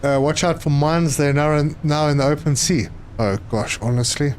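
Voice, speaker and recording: A man, live streaming a game and chatting with viewers, often pausing with fillers. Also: on the high side, a little mellow, slight background noise. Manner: chatty, reads chat aloud, hesitant with fillers. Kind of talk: casual live stream commentary.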